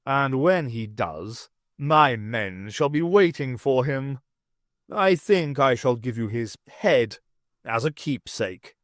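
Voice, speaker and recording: A man's voice: mid-range voice, animated, dry recording, clean studio sound. A man voicing a moody king who growls and mocks cruelly, then turns to self-pity. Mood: mocking. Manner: growling, mocking, slow and drawn out.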